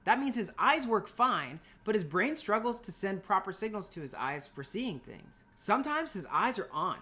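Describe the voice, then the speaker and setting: A woman teacher with a mid-pitched voice delivers an informal video lesson. Her intonation is very lively, swinging up and down. She talks in a chatty, playful way and drops in emphatic asides. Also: normal speed. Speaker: middle school language and literature teacher.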